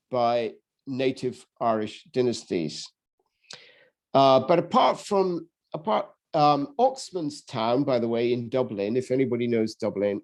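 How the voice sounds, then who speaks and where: A man giving an unscripted history talk, halting often with ums and restarts as he goes. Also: mid-range voice, dark tone. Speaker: history lecturer. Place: lives in England.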